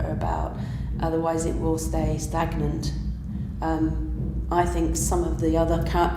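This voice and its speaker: A woman, a pantomime writer and director, giving her views in an earnest, emphatic way. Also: fairly low pitch. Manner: earnest, emphatic, occasional laugh. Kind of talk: conversational discussion. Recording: echoey room with faint background noise.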